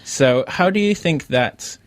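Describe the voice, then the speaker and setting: A man's voice: a little high, animated, slow pace, dry recording. An Australian man hosting a casual interview podcast from a radio studio.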